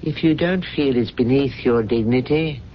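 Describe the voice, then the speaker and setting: An actor playing a grave, devoted, ailing butler with a stiff, formal, halting manner in a radio mystery drama. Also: male voice, mid-range voice, natural voice. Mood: stiffly polite. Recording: muffled, noisy vintage radio broadcast.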